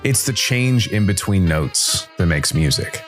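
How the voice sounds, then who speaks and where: A man voicing a weary, somber jazz musician who narrates a noir story in a brooding, deliberate, dramatic way. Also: low-pitched, expressive, fairly bright tone, soft background music. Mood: wistful, reflective. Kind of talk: scripted noir first-person narration.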